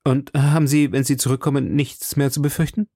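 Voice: medium pitch, little pitch movement, fairly quick, warm tone, slightly rough, dry recording, studio quality, pitched above the performer's own voice. A narrator playing an ardent, insistent gallant in his late teens, speaking eagerly and formally in an audiobook. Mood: probing. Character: ardent, insistent young gallant man, not yet twenty. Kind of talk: audiobook character dialogue.

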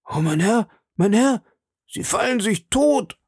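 A man's voice: high-pitched, normal speed, mellow, breathy edge, dry recording, studio quality, pitched above the performer's own voice. A man voicing an anxious, loyal young male servant who frets over his master. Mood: alarmed. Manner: fretful, pleading.

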